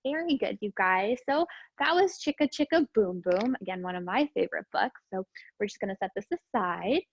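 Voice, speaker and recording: A woman teacher reading aloud to young children in an expressive, sing-song, encouraging way. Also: mid-range voice, moderate pace, highs cut off. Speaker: preschool teacher reading storytime.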